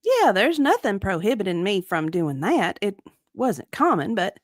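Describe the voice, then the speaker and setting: A woman's voice: medium pitch, sing-song, measured pace, dry recording, studio quality. A woman voicing an evasive, matter-of-fact victim advocate giving clipped, hedging answers in a comedic deposition reading. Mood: guarded.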